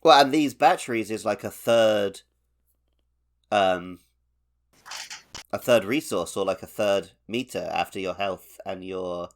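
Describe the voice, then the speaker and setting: A man with a fairly low-pitched voice talks as a podcast co-host. His delivery is informal and conversational, the kind used for talking through a video game with friends. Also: slow pace, long pauses. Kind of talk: casual video game discussion.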